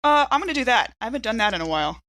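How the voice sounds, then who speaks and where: A woman's voice: on the high side, very animated, very fast, pushed and bright, no room sound. A woman playing a tabletop roleplaying game on a podcast, chatting casually and thinking aloud in an informal way.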